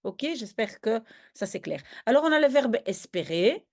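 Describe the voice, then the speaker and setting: Lively woman teaching step by step. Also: a little high, moderate pace. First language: Dutch. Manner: patient, step-by-step, checks understanding often. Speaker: language teacher. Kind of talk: explaining grammar in a video lesson.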